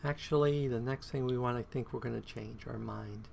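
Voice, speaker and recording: A man giving casual gameplay commentary on his own play, a bit croaky today, counting items aloud and humming to himself. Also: medium pitch, fairly even intonation, normal speed, darkish tone, a little hiss or hum. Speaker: game streamer.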